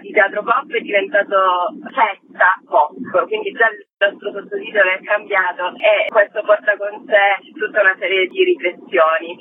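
A woman festival co-director explaining her project as a guest in a radio interview. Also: medium pitch. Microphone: phone. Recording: tinny phone line with faint noise.

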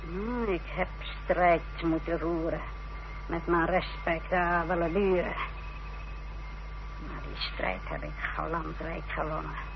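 Haughty middle-aged mother, slow and deliberate. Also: low-pitched, lively intonation, vocal fry, full character voice. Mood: proud, self-satisfied. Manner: haughty, theatrical, deliberate delivery. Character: proud, defiant middle-aged mother with a scandalous past. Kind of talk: radio drama acting. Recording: dull, noisy old radio recording.

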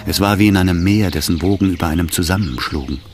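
Deep-voiced male radio drama narrator. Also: quick pace. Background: music.

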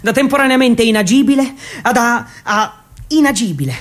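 Man, high voice, dramatic theatre narration, deliberate repetitions, ironic asides. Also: animated, fairly bright tone. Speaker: actor and storyteller.

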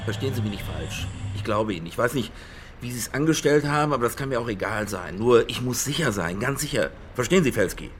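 Actor as brusque, imperious businessman, medium pitch. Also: expressive, quick pace, background noise, natural voice. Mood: insistent, pressing. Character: imperious, slippery businessman client, jovial but demanding. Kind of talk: German radio crime drama dialogue.

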